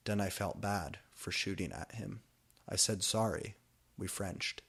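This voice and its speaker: A man's voice: sits low, unhurried, creaky, a bit bright, husky. A man reading fan fiction aloud as a dramatic comic narration, sounding out garbled words exactly as written. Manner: reads misspellings and typos aloud literally.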